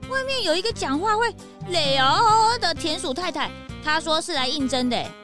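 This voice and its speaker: A woman voicing an eager, excitable young detective's sidekick, childlike and a little whiny, in a children's story. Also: high-pitched, brisk, music in the background. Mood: helpful. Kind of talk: children's audio story acting.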